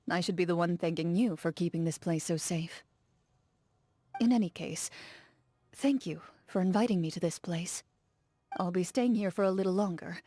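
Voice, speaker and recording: An actress playing a stoic young woman duelist, speaking in a calm, measured, formal and reserved way. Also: on the low side, natural voice. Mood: composed. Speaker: game character. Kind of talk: game character voice lines.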